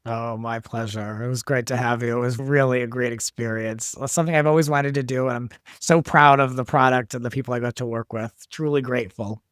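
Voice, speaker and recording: A man's voice: medium pitch, steady tone, brisk, hardly any pauses, fairly bright tone, smooth, dry recording, clean studio sound. A man who creates audio drama, talking casually as a guest in an interview.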